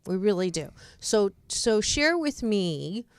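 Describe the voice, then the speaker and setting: A woman hosting a radio interview, chatty and quick to jump in with short prompts. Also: medium pitch, sing-song, clean tone, no room sound. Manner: chatty, interjects with many mm's. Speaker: radio show host. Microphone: studio mic.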